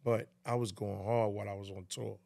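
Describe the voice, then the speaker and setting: A man's voice: lowish voice, flat delivery, fairly quick, gravelly, dark tone, no echo. A man in his fifties speaking casually and emphatically as a podcast guest, repeating himself and checking that he is understood. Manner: emphatic, repeats himself, often asks "you know what I mean". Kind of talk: casual interview chat. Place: small indoor podcast studio. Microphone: studio mic on boom arm.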